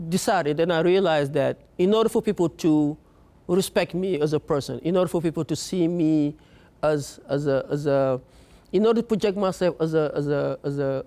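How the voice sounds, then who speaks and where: A young man from Africa telling his own story in an earnest, halting way, often repeating words as he searches for them. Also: a little high, darkish tone. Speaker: speaker. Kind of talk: personal story talk.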